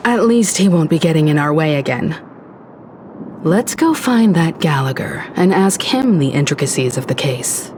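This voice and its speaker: A woman playing a courteous, calm and diplomatic traveller in an anime game, speaking politely and formally. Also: sits low, a little slow, a little hiss or hum. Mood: composed. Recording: loud game music behind. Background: loud game music.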